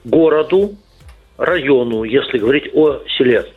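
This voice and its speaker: A middle-aged man, a guest on a radio talk show, explaining at a measured pace with frequent pauses and fillers. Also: medium pitch, lively intonation, breathy edge. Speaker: guest lawmaker. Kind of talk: radio interview answers. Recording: tinny phone-like radio line.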